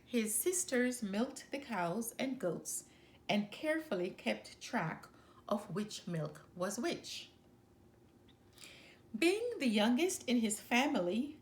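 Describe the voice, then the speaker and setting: Slow, expressive woman storyteller. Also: fairly high pitch. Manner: deliberate, expressive phrasing. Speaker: storyteller, channel host. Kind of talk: children's story read-aloud.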